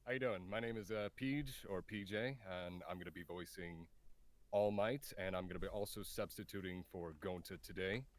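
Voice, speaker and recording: A man's voice: low voice, moderate pace. A nervous man who is a voice actor, giving a short casual introduction on a panel.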